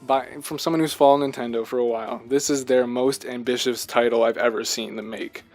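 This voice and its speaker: A man's voice: medium pitch, steady tone, brisk, a little mellow, no room sound, soft background music. A man co-hosting a casual video game chat, gushing with enthusiasm and rambling from thought to thought. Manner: rambling, jumps between thoughts. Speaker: podcast host.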